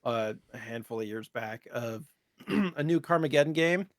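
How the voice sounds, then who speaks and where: A man's voice: medium pitch, lively intonation, moderate pace, no echo. A man with an American accent in casual conversation, co-hosting a gaming podcast. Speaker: co-host of a retro gaming podcast. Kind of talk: casual chat about video games.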